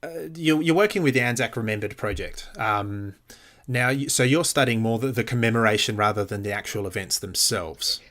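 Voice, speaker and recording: A man's voice: fairly low pitch, fairly quick, fairly bright tone. A man hosting a history podcast, casual and hesitant with many fillers and repeated words. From Australia. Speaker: podcast host. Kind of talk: casual podcast intro and interview.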